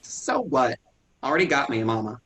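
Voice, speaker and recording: A man's voice: mid-range voice, sing-song, moderate pace, fairly bright tone. A man playing a sullen young farm man who speaks in a bitter, snappish way. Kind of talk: staged play reading.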